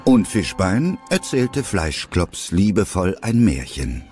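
A man's voice: low-pitched, big pitch swings, takes it slowly, a little mellow, soft background music. A man reading scripted narration for an audio drama.

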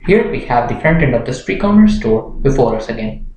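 A man's voice: medium pitch, very animated, brisk, darkish tone. Young man narrating a tutorial, echoey room. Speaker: software tutorial presenter. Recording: roomy echo with background noise, clicks.

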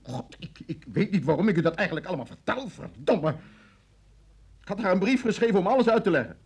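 A flustered traveller under interrogation in a radio drama. His voice is rather high and rough, very animated in pitch. He is defensive and stumbles as he insists. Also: quick pace. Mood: embarrassed, confessing.

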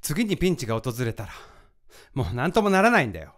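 Radio drama, distracted, hesitant company boss, a troubled man with a slightly high, lively-pitched voice. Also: fast. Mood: troubled, resigned. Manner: absent-minded, hesitant. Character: distracted, troubled male president of a new startup.

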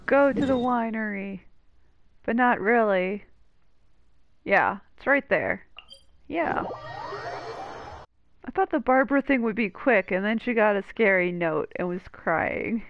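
A woman's voice: medium pitch, slow, long pauses, a little hiss or hum. A woman hosting a game playthrough with casual commentary and wry, mocking asides. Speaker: gaming video host. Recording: dull lo-fi mic, game music behind.

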